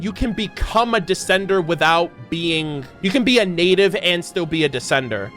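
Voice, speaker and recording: A man's voice: a little high, fairly bright tone. A man giving casual reaction commentary, thinking aloud in a musing, self-questioning way. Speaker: YouTube reactor and game lore commentator. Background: background music.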